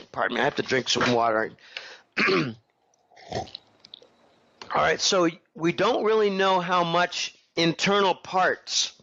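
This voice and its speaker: A man giving a rambling interview answer while recovering from a head cold, clearing his throat often. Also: sits high, fairly bright tone, highs cut off. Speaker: interview guest. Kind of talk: casual interview commentary.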